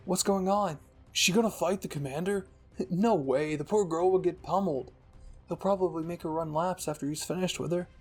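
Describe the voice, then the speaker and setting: A man's voice: high voice, brisk, husky, soft background music. A man voicing worried young military trainees in a fan-fiction reading, speaking with urgency. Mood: worried, urgent. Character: worried young trainees watching their comrade. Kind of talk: dramatic audiobook fan-fiction acting.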